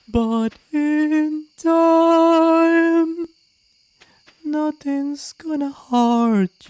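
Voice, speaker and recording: Man playing a menacing stage-musical singer, pitched up, very drawn-out and dramatic, over music. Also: a little mellow, smooth, no echo, full character voice.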